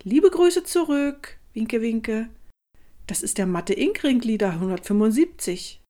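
Slow, swooping gushing influencer. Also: female voice, on the high side, animated, mellow. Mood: cheerily promotional. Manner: reads hashtags and emojis aloud. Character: gushing female social media influencer reading posts aloud. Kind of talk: audio collage of social media comments.

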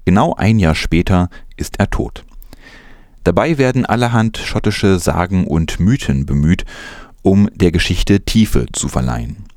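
Deep-voiced man reading scripted news. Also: animated, measured pace, a little mellow, no room sound, clean studio sound. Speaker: news presenter.

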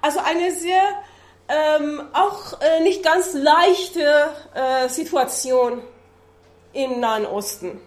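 A woman with a Persian accent gives a public lecture at an unhurried pace. Her voice sits high, and she pauses often with fillers while she searches for words. The room carries a noticeable echo. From Iran. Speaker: adult-education lecturer. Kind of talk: public lecture with map slides.

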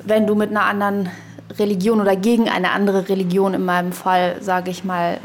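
A woman co-hosting a podcast, speaking casually and reflectively, haltingly thinking aloud in academic vocabulary. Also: mid-range voice, level tone, a little hiss or hum. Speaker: podcast co-host.

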